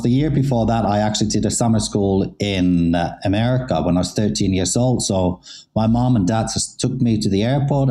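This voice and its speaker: Deep, mellow middle-aged man. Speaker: interviewed athlete, former figure skater. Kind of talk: casual interview storytelling.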